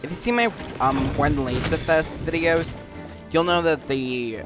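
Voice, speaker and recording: A man's voice: fairly high pitch, measured pace. A man giving casual, rambling gameplay commentary with a hesitant delivery. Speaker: gaming video narrator. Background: game music.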